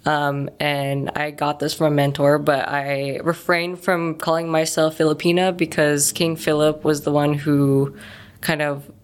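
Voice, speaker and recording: Young woman from Chicago, interview guest, deep voice, unhurried, soft-spoken. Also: level tone, smooth. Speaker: social media manager, guest. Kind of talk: casual studio interview.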